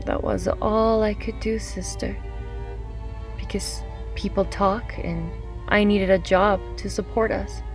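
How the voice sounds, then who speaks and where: A woman's voice: mid-range voice, fairly even intonation, takes it slowly, soft background music. A woman playing a sick, fragile young mother in a period audio drama, speaking in a plaintive, earnest way. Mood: wistful. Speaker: actor. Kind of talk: radio-style period drama acting.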